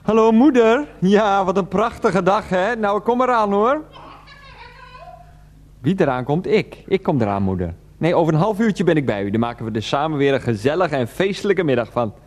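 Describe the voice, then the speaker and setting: A flustered grown son in a radio play. His pitch leaps about as he talks to his mother, pleading in halting, repeated bursts, exasperated and insistent. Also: lively intonation, a little hiss or hum. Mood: forced cheer.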